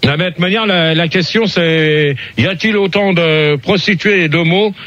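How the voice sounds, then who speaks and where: A man's voice: on the high side, moderate pace, fairly bright tone, highs cut off. A man hosting a casual radio debate, blunt and scolding, who swears at the participants.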